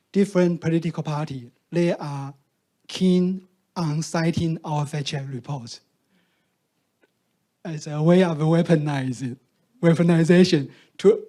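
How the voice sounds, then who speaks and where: A man, Mandarin speaker, answering on a discussion panel. His voice sits a little high but sounds dark and mellow, and he talks slowly. He pauses often, restarting sentences and filling gaps with "uh". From Taiwan. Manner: halting, many fillers and restarts. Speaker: panelist from a fact-checking group.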